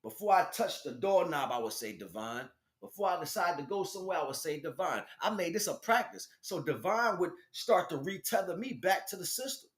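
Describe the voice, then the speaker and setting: An American man with a fairly high, very animated voice gives an informal spiritual talk. His delivery is emphatic and slangy, circling back on phrases, and the room adds clear echo. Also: fairly quick, hardly any pauses. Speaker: spiritual teacher and stream host.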